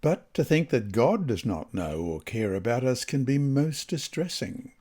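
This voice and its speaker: A man with a soft-edged, mellow voice reads a devotional study lesson aloud. His delivery is measured and deliberate, with lively rises and falls in pitch that give the scripted text and scripture an expressive shape. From Australia. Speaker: reader of Bible study lessons.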